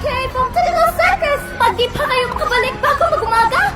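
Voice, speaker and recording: A woman voicing an excitable shrill female character shouting commands, in a fan dub. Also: quick pace, loud music behind the voice. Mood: urgent. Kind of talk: Tagalog fan dub acting.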